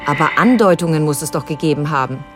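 An actress playing an insistent, probing adult daughter with a pointed manner in a naturalistic radio drama. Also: female voice, deep voice, quick pace, music in the background, close to an everyday voice. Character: insistent, accusing grown daughter digging up family past.

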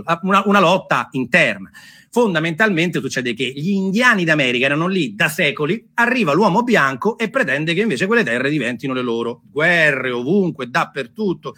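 A man's voice: on the high side, bright, forceful tone, slightly rough. A man telling history as a theatrical monologue, emphatic, repeating himself and drawing out key words. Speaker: theatre storyteller and performer. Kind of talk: dramatised historical storytelling monologue for an audience.

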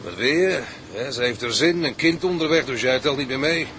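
Man as a fast, brisk, no-nonsense seaman. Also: medium pitch, a bit bright, slight background noise, lightly acted. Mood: matter-of-fact. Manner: brisk, commanding. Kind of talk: radio play acting.

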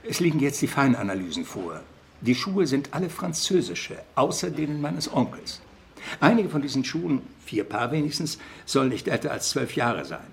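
An actor playing a dry, precise, grumbling police deputy in a German radio crime drama. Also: male voice, medium pitch, very animated, normal speed, creaky, a little hiss or hum. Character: erudite, grumbling, wine-drinking police deputy.

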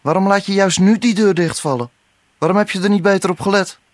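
An actor playing an irritable, exasperated boyfriend in a hurry, in a radio drama. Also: male voice, high voice, steady tone, fast, no room sound, clean studio sound, natural voice. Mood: irritated. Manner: snappy, exasperated. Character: impatient, irritable boyfriend rushing to pack for a trip.

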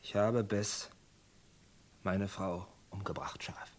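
Low-voiced, grim Western rancher. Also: male voice. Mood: stubborn, stricken. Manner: terse, heavy, deliberate. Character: grim, stubborn male rancher and gunslinger confessing a killing. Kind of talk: German radio crime drama acting.

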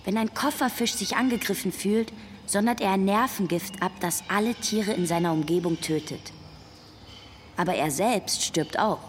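A woman playing an intense, idealistic young activist, speaking with earnest, measured conviction in a naturalistic radio drama. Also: medium pitch, slight background noise, natural voice. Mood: cool, probing. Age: young adult.

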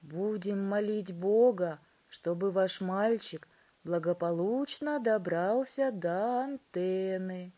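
A woman voicing an excitable, theatrical male inventor in a children's story, speaking in emphatic, drawn-out phrases. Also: mid-range voice. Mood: soothing.

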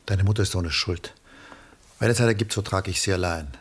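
An actor playing an ageing, reflective former lover in a radio drama, measured and confessional in his phrasing. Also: low voice. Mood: somber, confessional. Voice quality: husky, breathy, gravelly. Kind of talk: 1960s German radio play acting.

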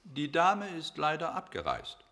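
An actor playing a courteous, fussy hotel receptionist in a radio drama, polite and drawn-out. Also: male voice, fairly high pitch, wildly swooping pitch, sharp tone, exaggerated voice. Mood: polite, regretful. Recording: reverberant hall, faint noise.

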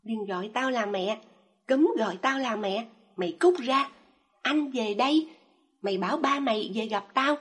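A woman's voice: a little high, moderate pace, slightly rough. A woman voicing a desperate, hysterical mother who screams and begs through tears. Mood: furious.

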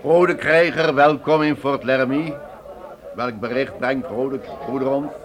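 A man playing a world-weary, scornful frontier fort keeper in a radio drama, speaking in a formal, deliberate way. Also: mid-range voice, a crowd in the background, close to an everyday voice. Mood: formal, questioning.

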